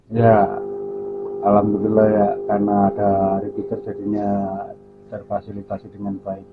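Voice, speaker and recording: A man's voice: on the low side, completely flat delivery, normal speed, music in the background. Phone-band man in casual radio chat. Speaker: amateur radio operator. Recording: narrow phone-like sound, faint hum.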